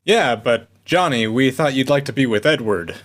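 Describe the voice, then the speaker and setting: A man's voice: medium pitch, lively intonation, moderate pace, natural voice. A man playing a proud, jovial old small-town father with a hearty, folksy manner in a sci-fi radio play. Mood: insistent.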